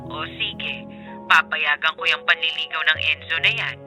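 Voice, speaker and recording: A woman's voice: low voice, moderate pace, music in the background, natural voice. An actress playing a middle-aged Filipino mother in a radio drama, strict and anxious but loving. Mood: firm.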